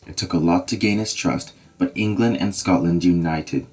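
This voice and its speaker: An actor voicing a grave Scottish nobleman with a steady, reassuring manner. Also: low-pitched, moderate pace, faint music behind, lightly acted. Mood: solemn. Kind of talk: fan audio drama acting.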